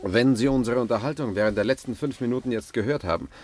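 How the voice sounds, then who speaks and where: An actor playing a groggy but stubborn, shrewd journalist-investigator in a 1960s German radio crime drama. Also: male voice, medium pitch, fast talker, a little mellow, no echo, close to an everyday voice. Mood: rallying, determined.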